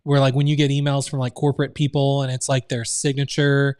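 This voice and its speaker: A man's voice: medium pitch, monotone, brisk, a little mellow, smooth, no room sound, studio quality. Man casually talking through a live training. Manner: casual, loose, filler-heavy explaining. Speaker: online business trainer. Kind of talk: live screen-share training.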